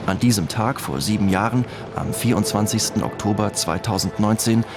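A man's voice: lowish voice, moderate pace, music in the background. A man narrating a scripted radio documentary.

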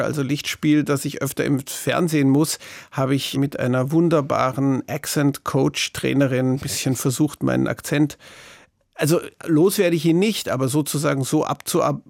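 Gravelly, higher-voiced middle-aged German man. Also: no room sound, studio quality. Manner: thoughtful, searching for words, frequent restarts. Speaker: interview guest, author. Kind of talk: reflective interview talk.

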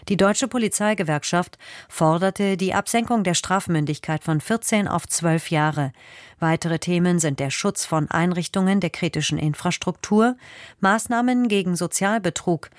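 A woman reads a radio news bulletin. Her voice sits a little low, with a formal, measured delivery. The sound is close and dry, with very little room. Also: no room sound. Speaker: news reader.

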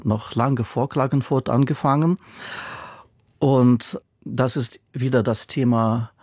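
A man's voice: mid-range voice, darkish tone, slightly rough, no echo, studio quality. A man whose first language is not German, speaking as an author in a radio interview, thoughtful and given to repeating words for emphasis.

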